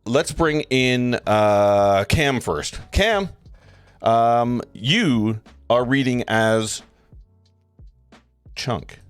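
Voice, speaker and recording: A man's voice: mid-range voice, drawn-out words, pauses often, clean tone, no room sound, faint music behind. A man hosting a livestream in casual chat, rambling and joking as he goes. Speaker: livestream host.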